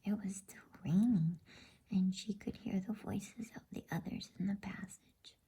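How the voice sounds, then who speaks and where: A woman reading a bedtime story in a gentle, unhurried ASMR whisper, soft and breathy. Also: medium pitch, a little hiss or hum. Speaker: narrator and channel host. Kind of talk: ASMR bedtime story narration, read softly.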